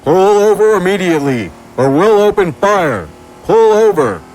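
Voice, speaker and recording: Male actor as hostile gunman barking commands, audio drama. High male voice, swooping pitch, over vehicle noise. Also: very animated, a little slow, darkish tone, poor audio. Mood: threatening. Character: menacing male armed pursuer shouting orders from truck.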